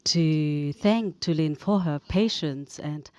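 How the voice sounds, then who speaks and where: Low-voiced woman speaking on a panel. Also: mellow, lo-fi sound. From Germany. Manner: slow and deliberate, with some hesitations. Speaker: conference panelist. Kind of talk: conference speech. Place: conference hall.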